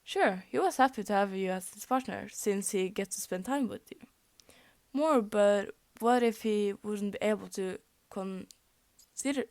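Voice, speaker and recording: A woman's voice: mid-range voice, unhurried, darkish tone, dry recording. A young woman whose first language is Norwegian, reading fan fiction aloud, often tripping over words and laughing at her slips. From Norway. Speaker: fanfic reader.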